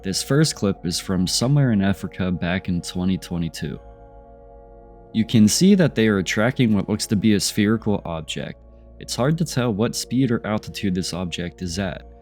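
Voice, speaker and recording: A man's voice: lowish voice, animated, normal speed, a little mellow, faint music behind. A congested man with a stuffy voice from a cold, hosting and narrating video footage in a skeptical tone that poses questions. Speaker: channel host narrating UFO footage. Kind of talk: voice-over commentary on video clips.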